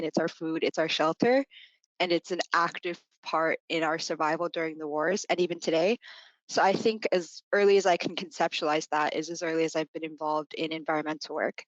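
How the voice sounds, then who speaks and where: A woman in her twenties from Florida speaks in an articulate, reflective way, often saying "like" and "you know". Her voice sits low. The recording is dry and dull. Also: no room sound. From Florida, United States. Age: 20s. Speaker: guest. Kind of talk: reflective interview answers.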